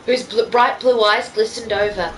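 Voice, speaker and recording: A woman's voice: on the high side, steady tone, moderate pace, rough recording. A woman reading fan fiction aloud in an amateur style, breaking off for casual banter. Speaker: reader.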